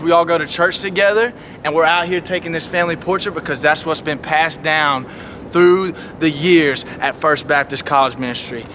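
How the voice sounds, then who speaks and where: A man's voice: on the high side, a bit bright, slight background noise. Upbeat young Southern man outdoors. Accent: Southern American. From Alabama. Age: 20s. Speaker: college student. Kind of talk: casual video submission. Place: outdoors by a stadium.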